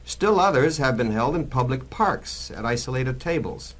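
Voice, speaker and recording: Casting: male narrator, grave and expository, very animated pitch, vintage documentary-drama delivery. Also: moderate pace. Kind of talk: 1960s scripted dramatic narration.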